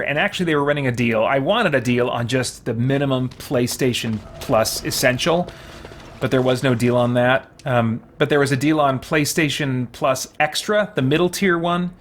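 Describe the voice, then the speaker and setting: A man live-streaming a game, talking casually and haltingly as he thinks aloud and reads out viewer comments. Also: mid-range voice, a bit bright.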